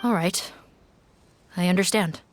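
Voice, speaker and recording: Game role: cautious official female actor, unhurried, reserved delivery, some noise behind. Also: fairly low pitch, close to an everyday voice. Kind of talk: scripted game character dialogue.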